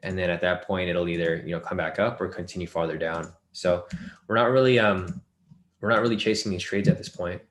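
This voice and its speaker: Man, low voice, live trading lesson host, losing his voice, roomy echo. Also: fairly even intonation, a little fast, a little hiss or hum. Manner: loose, filler-heavy live commentary, reading chat questions.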